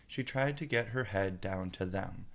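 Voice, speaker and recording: A man's voice: deep voice, measured pace, vocal fry. A man narrating a storybook aloud as a storyteller.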